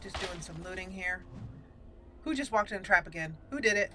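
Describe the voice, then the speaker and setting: A woman hosting a let's play, chatting casually about her gameplay and reacting excitedly to the action. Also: on the low side, brisk, over music. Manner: chatty, excitable reactions, talks to party members.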